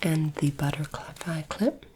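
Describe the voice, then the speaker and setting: A woman doing a soft-spoken, whispered ASMR roleplay as a polite, formal alien interviewer, with deliberate phrasing. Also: low-pitched, slight background noise.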